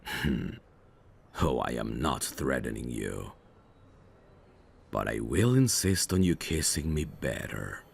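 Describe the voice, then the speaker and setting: A man playing a suave, teasing mafia boss in an ASMR audio roleplay, flirtatious with a faint edge of menace and an unhurried manner. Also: a bass voice, sing-song, gravelly, a little hiss or hum, full character voice.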